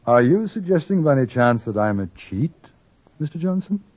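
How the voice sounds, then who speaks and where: A man playing a polite, reserved, cool-headed gentleman in a 1950s radio western, speaking precisely. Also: on the high side, big pitch swings, moderate pace, no room sound. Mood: firm. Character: courteous, cool-headed gentleman adventurer in the Old West. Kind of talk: 1950s radio drama acting and narration. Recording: dull old 1950s radio recording.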